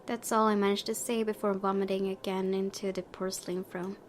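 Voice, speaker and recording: A woman's voice: medium pitch, little pitch movement, brisk, a little hiss or hum. A young adult woman hosting a let's-play, reading visual novel narration expressively with informal, casual reactions. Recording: voice over prominent game music.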